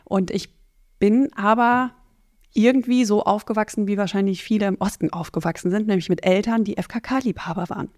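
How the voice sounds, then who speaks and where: A middle-aged woman from North Rhine-Westphalia, speaking casually as an interview guest, with many filled pauses. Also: medium pitch, fairly even intonation, darkish tone, no echo, clean studio sound. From North Rhine-Westphalia, Germany. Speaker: podcast guest, finance coach.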